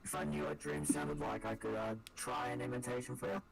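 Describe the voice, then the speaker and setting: A man's voice: mid-range voice, completely flat delivery, brisk. A man co-commentating on a game stream with casual banter in a dry, teasing manner.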